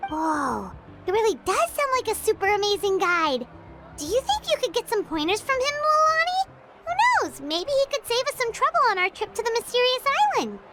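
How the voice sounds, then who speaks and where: Very high, bouncy little companion who talks about herself in third person; game soundtrack under her. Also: big pitch swings, moderate pace, over loud music. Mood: chatty. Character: tiny floating girl companion, chirpy and chatty.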